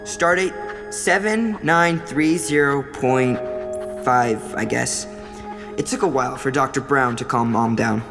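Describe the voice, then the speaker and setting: An earnest, nerdy young boy in an audio drama. The voice is low and sincere, as if dictating a captain's log. It rings with long reverb over clear music. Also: a little slow. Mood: reflective. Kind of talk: audio drama dialogue and diary narration.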